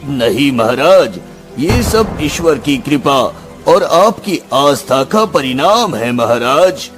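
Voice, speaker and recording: A man's voice: a little high, big pitch swings, takes it slowly, over music. An actor voicing a wise, devout old male sage in a dubbed cartoon, speaking solemnly and chanting prayers.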